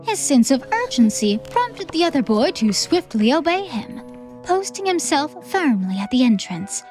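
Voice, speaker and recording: A woman's voice: sits high, very animated, normal speed, a little mellow, soft background music. A woman reading scripted fantasy storybook narration as an audiobook narrator.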